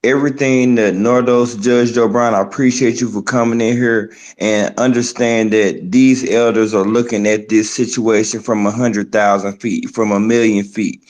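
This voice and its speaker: A man moderating an online audio chat room, jumping in with forceful, impassioned remarks. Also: fairly low pitch, fairly even intonation, normal speed, hardly any pauses. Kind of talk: live audio chat commentary.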